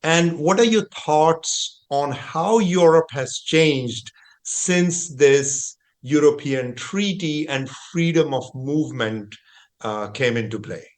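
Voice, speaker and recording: A middle-aged man hosting a travel podcast, talking in a measured, explanatory, conversational way. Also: on the high side. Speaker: podcast host.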